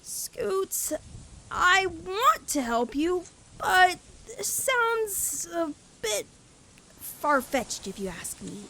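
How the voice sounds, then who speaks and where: Woman as a lively, high-voiced cartoon pegasus mare. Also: drawn-out words, slight background noise, full character voice. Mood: gently doubtful. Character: cocky but caring young adult pegasus mare. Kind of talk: acted cartoon fan fiction audio reading.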